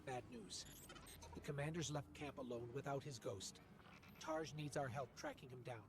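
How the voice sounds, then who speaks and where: An actor voicing a devoted little machine companion in a video game, earnest, tender and reflective. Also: male voice, mid-range voice, moderate pace, close to an everyday voice. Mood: worried, urgent. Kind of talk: video game cutscene monologue.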